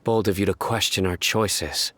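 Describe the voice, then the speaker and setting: Casting: serious fighter for a game, very low voice, terse, grave, stern. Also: male voice, steady tone, normal speed, fairly bright tone, slight background noise. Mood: reproachful. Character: stern, serious male warrior, bitter toward authority. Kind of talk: video game voice acting. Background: guitar music.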